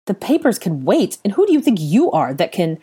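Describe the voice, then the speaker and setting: A woman's voice: fairly low pitch, huge pitch swings, normal speed, darkish tone. A woman voicing an irate, haughty man with a scornful, impatient, barking manner in an audiobook story. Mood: indignant.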